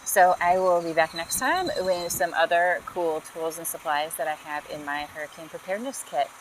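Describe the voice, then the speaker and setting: Friendly woman host, low pitch, casual demo tone, faint outdoor noise. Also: normal speed, poor audio. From Texas. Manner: chatty, friendly, uses y'all. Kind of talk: casual product demo vlog.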